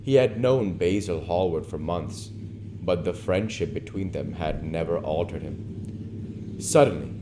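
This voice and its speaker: A man narrating a literary novel as an audiobook reader, with measured, deliberate phrasing. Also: low-pitched. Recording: noticeable background noise.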